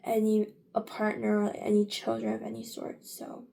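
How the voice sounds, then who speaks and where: A woman's voice: mid-range voice, completely flat delivery, normal speed, gravelly, warm tone. A young woman in her late teens chatting casually in a get-ready-with-me vlog, rambling with many fillers and restarts. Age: young adult. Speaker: vlogger.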